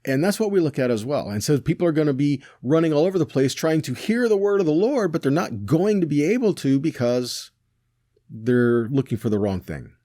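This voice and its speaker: A man teaching scripture in a conversational tone, his pitch rising and falling animatedly as he reads verses and then paraphrases them. He asks rhetorical questions and checks in with "right?" often. The recording is dry and close, with no room sound. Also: expressive, fairly quick, mellow, dry recording. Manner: rhetorical questions, self-corrections. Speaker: teacher of a weekly Torah portion.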